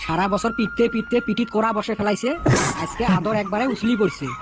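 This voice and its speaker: A woman's voice: medium pitch, a little fast, natural voice. A woman voicing an impudent schoolboy in a comedy cartoon, answering back bluntly to the teacher. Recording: loud music under the voice.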